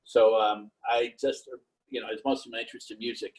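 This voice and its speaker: Halting, flat-voiced middle-aged man. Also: medium pitch, poor audio. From New York. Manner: monotone, halting with many fillers. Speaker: panelist. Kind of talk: casual career talk on a video call.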